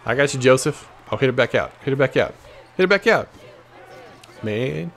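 A man's voice: medium pitch, expressive, moderate pace, creaky, fairly bright tone, a faint crowd. An American man giving casual, playful trash-talking commentary as he plays a video game, jumping between exclamations and muttered asides. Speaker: gaming video host. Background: basketball video game sounds.

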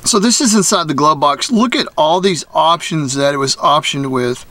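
A man's voice: a little high, moderate pace, sharp tone, no echo. An enthusiastic, folksy man giving a casual, off-the-cuff walkthrough commentary full of slangy exclamations. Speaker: vlogger giving a vehicle walkthrough. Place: mostly inside a small cluttered motorhome.

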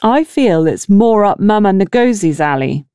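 Woman playing a no-nonsense sarcastic dressmaker in an audiobook, mellow voice, blunt. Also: medium pitch, animated, unhurried, smooth, dry recording, clean studio sound, lightly acted. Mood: firm, dry. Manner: sardonic, blunt. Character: blunt, sardonic village dressmaker, incredulous woman.

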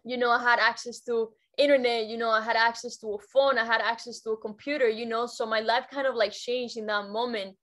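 An upbeat young woman from Cuba talks on a video call from her bedroom, her voice pitched a little high. She speaks casually as a guest educator, often repeating words and restarting her sentences. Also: fairly even intonation, brisk, hardly any pauses, a bit bright. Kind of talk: casual motivational talk. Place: bedroom at home.

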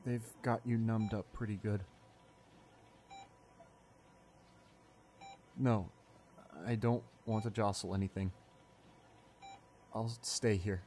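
A man playing a tender, remorseful young boyfriend in an ASMR audio roleplay, speaking softly and haltingly with emotion. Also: fairly low pitch, slight background noise, close to an everyday voice. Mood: gentle, caring. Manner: halting, soft-spoken, emotional delivery with pauses. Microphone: close studio condenser microphone.

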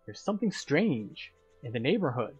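A man with a mid-pitched voice gives a guided game commentary. He explains tactics step by step with a light playful touch, and music plays behind him. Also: very animated, moderate pace, a little mellow, husky, muffled recording. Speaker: host of a game playthrough guide.

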